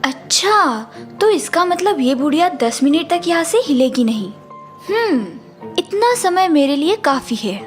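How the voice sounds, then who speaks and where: A woman's voice: high voice, very animated, a little slow, soft background music. A woman voicing a sly, greedy housemaid who plays obedient, in a theatrical cartoon story manner. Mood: scheming. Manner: theatrical, deferential to employers, gloating when alone. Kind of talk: Hindi cartoon story voice acting.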